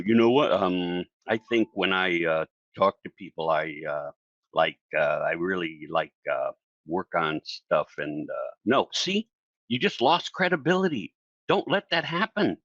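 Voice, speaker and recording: A man teaching public speaking in a casual solo video, talking in a chatty, animated way and acting out the voices of the people he quotes. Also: medium pitch, dry recording, lo-fi sound. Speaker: speaking coach.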